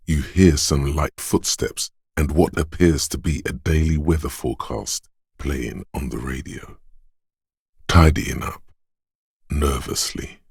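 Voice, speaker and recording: A gravelly, grim inner voice of the senses. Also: male voice, very deep voice, dry recording, clean studio sound, heavily acted. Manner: slow, hushed, lingering on sensory detail. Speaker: voice of a game's inner perception skill. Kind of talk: second-person game narration.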